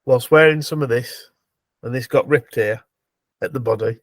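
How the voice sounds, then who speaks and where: A man's voice: mid-range voice, normal speed, dry recording, studio quality. A man chatting casually as a player in a tabletop roleplay game.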